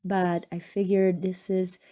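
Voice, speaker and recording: A hoarse woman with a cold talks through her crafting project in a casual voice-over, pitched a little low with a dark, mellow tone. She rambles, stops to correct herself and fills gaps with ums. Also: little pitch movement, slow. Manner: chatty, rambling with frequent ums and self-corrections. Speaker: crafting channel host.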